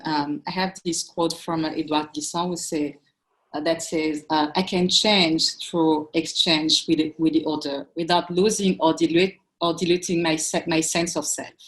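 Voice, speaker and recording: A woman's voice: low-pitched, low-quality recording. A woman from Cameroon, speaking thoughtfully as a curator on a video-call panel and often restarting her words.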